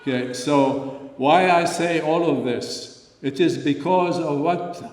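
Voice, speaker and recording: An older man from Lebanon giving an earnest, unscripted speech at a community dinner, pausing often with fillers. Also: on the high side, a little mellow. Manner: earnest, frequent pauses and fillers. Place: large hall. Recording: echoey hall, voice from far off.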